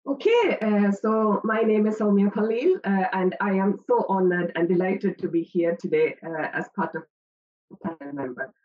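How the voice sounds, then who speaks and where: A woman's voice: mid-range voice, moderate pace. Woman on muffled, lo-fi audio. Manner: steady, many "uh" fillers. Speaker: head of a drug discovery facility. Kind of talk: panel self-introduction.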